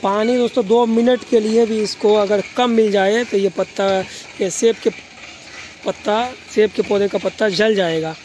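A high-voiced man with a Bengali accent narrates an informal garden tour for a vlog. He speaks to viewers directly and often repeats his phrases. Faint outdoor noise and birds sit behind his voice. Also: steady tone, normal speed, darkish tone. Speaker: gardening vlogger.

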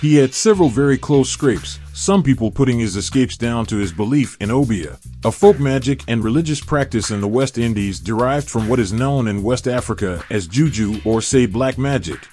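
A man giving a steady, measured scripted documentary narration. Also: medium pitch, faint music behind.

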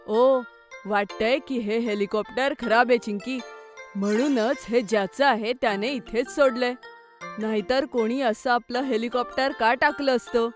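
A woman's voice: fairly high pitch, steady tone, unhurried, soft background music, close to an everyday voice. A woman playing a curious, eager young boy in a children's cartoon, speaking expressively.

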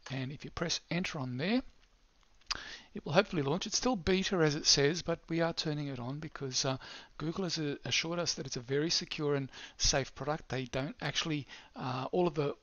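An older man presents an unscripted screen demo. His voice sits slightly high for a man. The headset sound is very dry, with a somewhat dull, narrow tone. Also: brisk, a bit bright, no echo. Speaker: presenter of an educational technology demo. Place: small indoor room. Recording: slightly dull, band-limited headset audio.

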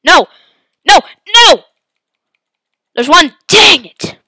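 Congested woman, gaming commentary, excitable yelps, bright forceful voice, slightly high, dry close sound. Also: very slow, no room sound. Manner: excitable, yelps and exclaims at the game. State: congested with a head cold. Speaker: gaming channel host. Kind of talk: live gameplay commentary.

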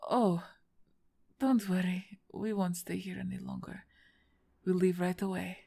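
A woman acts out a big, strong half-orc woman, injured and grateful, for an ASMR roleplay. Her voice is low and full of gravelly creak, with a breathy edge. She talks slowly and haltingly. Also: steady tone, exaggerated voice. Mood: polite, amused. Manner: hesitant, soft-spoken, halting with pauses.

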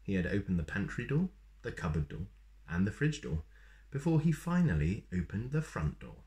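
A man in his thirties reading a children's story aloud in an expressive, playful way. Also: lowish voice, moderate pace. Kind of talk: animated read-aloud with asides to young listeners. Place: small plain room indoors.

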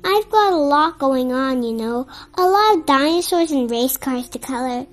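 A child reading a children's picture book aloud in an expressive, somewhat halting way, with stumbles. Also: high-pitched. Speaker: storybook reader.

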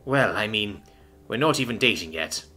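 A man voicing a huge, boisterous young man who talks loudly and eagerly and jokes crudely. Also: lowish voice, lively intonation, normal speed, faint music behind. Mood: reassuring. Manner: loud, eager, crude joking.

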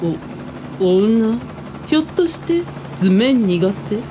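A woman playing a goofy, lovestruck young male helicopter pilot in a comic radio drama, excitable and comically polite. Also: slow. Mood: incredulous. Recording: noisy helicopter ambience behind voice. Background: helicopter rumble.

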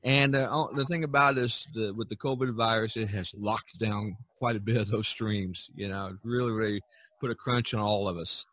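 A man hosting a podcast, chatting casually and haltingly about his work as an artist. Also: on the low side, steady tone, a bit bright, no room sound.

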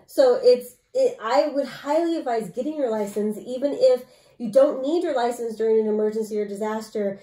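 A woman in her thirties offers advice in a casual, conversational explainer style. Her voice is mellow and a little high, and she sounds set back from the mic in a roomy space. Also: normal speed. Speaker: co-host giving advice. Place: small room at home, indoors. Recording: echoey room, distant from mic.

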